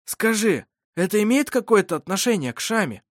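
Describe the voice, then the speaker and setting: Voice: medium pitch, very animated, a little fast, no echo, studio quality, voice pushed up. Casting: grave grandfather for a fantasy audiobook, earnest, raised voice, resigned one reader acting him out. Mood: uneasy. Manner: sighing, muttering, earnest.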